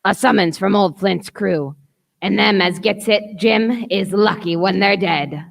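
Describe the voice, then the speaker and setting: A woman playing a gruff, desperate, rum-craving old male sea captain in a radio play, with a gravelly voice. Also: medium pitch, measured pace, no room sound. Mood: gruff, uneasy.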